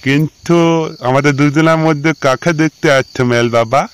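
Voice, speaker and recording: A man's voice: on the high side, fairly even intonation, moderate pace, clean tone, close to an everyday voice. A man voicing a naive, hopeful grown son with muddled, tumbling wording in a comic cartoon. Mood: naive, curious.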